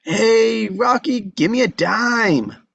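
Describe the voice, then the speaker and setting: A man's voice: high-pitched, animated, takes it slowly, gravelly, fairly bright tone, slightly rough, no echo, muffled recording, pitched above the performer's own voice, full character voice. A man voicing a cheeky, pushy teenage street boy with slangy, wheedling talk in a table read. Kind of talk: screenplay table read acting.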